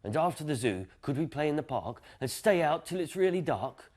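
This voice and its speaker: A man's voice: on the high side, very animated, moderate pace, a little mellow, pitched above the performer's own voice. A man voicing a playful, sleepy father in a children's picture-book story, teasing and childlike in his eagerness. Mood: eager.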